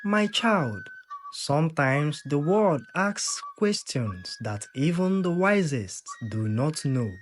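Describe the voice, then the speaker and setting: A storyteller voicing a wise, gentle old grandmother and village griot, giving solemn counsel in a folktale. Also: mid-range voice, expressive, takes it slowly, faint music behind.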